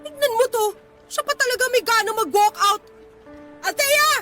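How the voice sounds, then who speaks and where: A woman's voice: very high voice, expressive, normal speed, over music. A woman acting a feisty, indignant, lovestruck young woman in an audio drama. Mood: furious. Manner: indignant, combative. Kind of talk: acted Tagalog audio drama dialogue.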